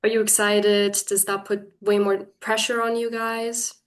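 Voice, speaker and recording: A woman's voice: medium pitch, flat delivery, a little slow. A young woman interviewing a music artist, enthusiastic and chatty like a fan.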